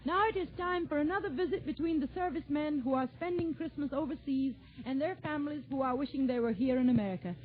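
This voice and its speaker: A woman's voice: high-pitched, fast talker, hardly any pauses. A woman hosting a radio show with scripted 1940s broadcast announcing. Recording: muffled, narrow-band 1940s radio.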